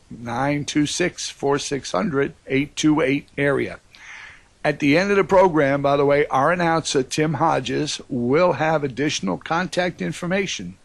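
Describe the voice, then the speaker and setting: Folksy older radio host, dry sound. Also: male voice, on the high side, creaky, dry recording. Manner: folksy, upbeat, joking.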